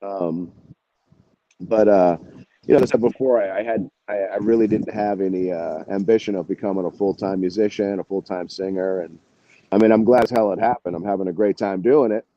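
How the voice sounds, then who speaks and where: A man with a fairly low, very mellow voice chats casually in an interview. He rambles through anecdotes with slangy, salty informality. The recording is dull and lo-fi. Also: steady tone, fairly quick. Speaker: rock singer and songwriter, interview guest.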